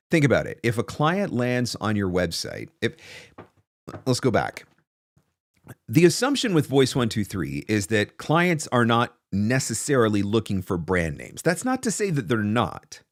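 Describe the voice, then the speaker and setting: A man in his forties with a fairly low voice talks to his viewers in a casual, emphatic way. His intonation is lively and moves around a lot. He sounds close to a studio mic in a dry small room. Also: no echo. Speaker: host of a livestream on voiceover business. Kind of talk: casual livestream advice talk to viewers. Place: small indoor home studio. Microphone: large studio microphone close in front.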